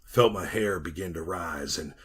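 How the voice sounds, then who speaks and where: An actor playing a frightened, trapped miner in a 1940s-style radio horror drama, husky and plain-spoken in a folksy way. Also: male voice, sits low, moderate pace. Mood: hushed dread. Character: trapped, frightened miner telling his haunted tale.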